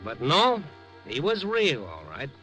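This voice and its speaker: An actor playing a wry, skeptical Chicago newspaper reporter in a 1950s radio drama, with colloquial, world-weary phrasing. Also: male voice, on the high side, huge pitch swings, slow pace, over loud music, heavily acted. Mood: wry, atmospheric. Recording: old 1950s radio recording.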